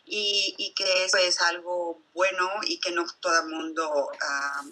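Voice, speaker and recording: A woman psychologist, answering interview questions thoughtfully and filling pauses with "este" and "¿no?". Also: fairly low pitch, steady tone, pushed and bright, low-quality recording. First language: Spanish. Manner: thoughtful, many fillers like este and ¿no?. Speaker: psychologist guest.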